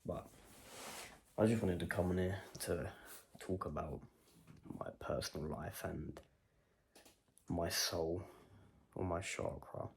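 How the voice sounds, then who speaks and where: A man's voice: sits low, slow, vocal fry, long pauses, a little hiss or hum. A man vlogging a personal video diary, talking in a reflective, rambling way and questioning himself as he goes.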